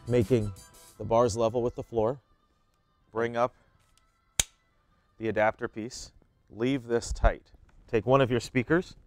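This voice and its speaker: A man in his thirties giving plain, step-by-step how-to instructions as an equipment demonstrator. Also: mid-range voice.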